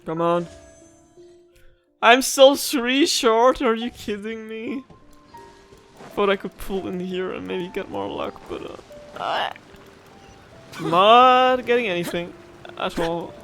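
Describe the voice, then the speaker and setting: A woman's voice: medium pitch, animated, slow pace, long pauses, a bit bright, faint music behind. A young woman doing casual, playful let's play commentary with a dramatic, emotive way of talking. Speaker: gaming streamer. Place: indoors over game audio.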